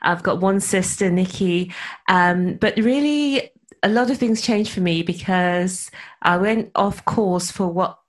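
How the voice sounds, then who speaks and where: A woman's voice: on the low side, measured pace. A young woman from England with a Birmingham accent, chatting as a podcast guest and laughing easily. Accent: Birmingham English. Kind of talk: casual interview chat.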